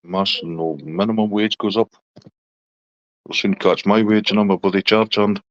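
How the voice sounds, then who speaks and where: A man's voice: fairly low pitch, steady tone, moderate pace, dry recording, dull audio. A middle-aged man from Scotland with a Scottish accent, chatting casually on a live stream and answering viewers' comments.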